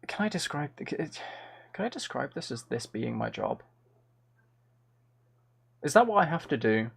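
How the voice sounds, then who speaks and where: Young male streamer, rambling and self-deprecating, with a bouncy, animated delivery. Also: medium pitch, moderate pace, gravelly, slightly rough. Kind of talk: casual live-stream chat while gaming.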